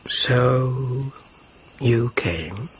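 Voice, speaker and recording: An actor playing a courteous, reserved host with quiet sympathy in a period radio drama, measured and polite. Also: male voice, medium pitch. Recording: dull lo-fi audio with clear noise.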